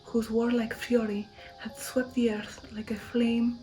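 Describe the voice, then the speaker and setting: A woman takes the part of an old vampire count in a gothic audiobook, proud and stately. The delivery is soft and half-whispered with a dark, mellow tone. Its pitch stays quite even as the count declaims. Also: unhurried, soft background music, lightly acted, low-quality recording. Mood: solemn pride. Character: proud old male vampire count boasting of warlike ancestry. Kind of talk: ASMR audiobook reading of a gothic novel.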